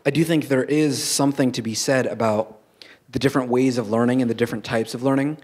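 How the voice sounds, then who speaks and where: Young male panelist, frank and measured, handheld mic on stage. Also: medium pitch, fairly even intonation, a little mellow. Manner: frank, measured, reasoning aloud. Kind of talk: panel discussion. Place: stage in a large auditorium.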